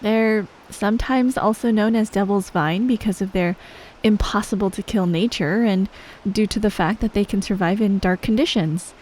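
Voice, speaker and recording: A woman playing a shy, awkward young best friend in an ASMR roleplay, hesitant and soft-spoken, speaking straight to the listener. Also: medium pitch, moderate pace, close to an everyday voice, low-quality recording. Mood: playful, teasing. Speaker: audio roleplay performer.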